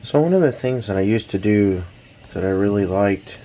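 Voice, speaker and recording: An American man in his thirties, rambling casually and haltingly as he thinks aloud in a laid-back hobby vlog. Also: lowish voice, lively intonation. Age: 30s. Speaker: radio hobbyist, vlogger. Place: small backyard shed. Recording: noisy shed, fireworks in distance. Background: background noise, distant fireworks popping.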